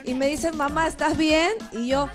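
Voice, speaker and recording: A woman in her thirties, a TV host on a live outdoor link, telling her story in a lively, chatty way into a handheld mic. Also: high-pitched, moderate pace, over music. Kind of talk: casual live TV chat. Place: outdoors on a leafy street.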